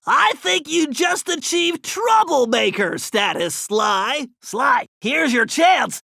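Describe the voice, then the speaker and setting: A man's voice: very high-pitched, gravelly, no room sound, clean studio sound, heavily acted. An actor voicing a nerdy, fussy male turtle tech genius who cheers on his teammates in video game voice lines. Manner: brainy, fussy, encouraging, excitable.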